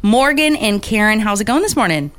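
A woman's voice: mid-range voice, big pitch swings, brisk, a bit bright. A woman hosting a friendly studio interview on the radio in an informal, encouraging, chatty way. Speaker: radio talk show host.

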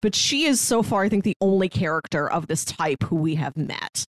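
A woman co-hosting a podcast, chatting casually and telling stories in an animated way, acting out the lines she quotes. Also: lowish voice, normal speed, no room sound. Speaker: podcast host. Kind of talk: casual chat about a manga.

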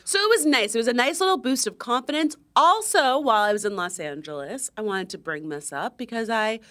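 Podcast lady, young sounding voice, kinda high, chatty and dramatic. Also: fairly quick. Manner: animated storyteller, playfully indignant. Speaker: podcast co-host. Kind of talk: casual chat.

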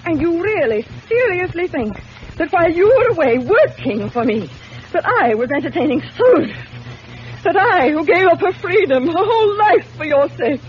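An actress playing a proud, cunning, theatrical wife in emphatic 1940s radio drama style. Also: female voice, very high voice, very animated, normal speed. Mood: indignant. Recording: muffled, noisy vintage radio recording.

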